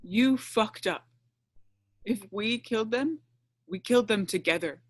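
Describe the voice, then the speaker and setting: A woman acts a distraught young woman behind bars in an audio drama. Her voice is fairly high and slow, recorded dry. She sounds lonely and halting, and anger breaks through the shakiness. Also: fairly bright tone, no echo. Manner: halting, shaky delivery with bursts of anger. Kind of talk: audio play acting over online stage reading.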